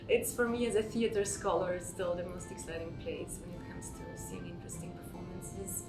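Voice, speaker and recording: A woman's voice: on the low side, normal speed, far from the mic. Austrian woman talking thoughtfully, lots of um's, roomy echo, music underneath. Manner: reflective, thoughtful, with frequent um's. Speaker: theater scholar. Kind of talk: conference interview answers. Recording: echoey room with background music.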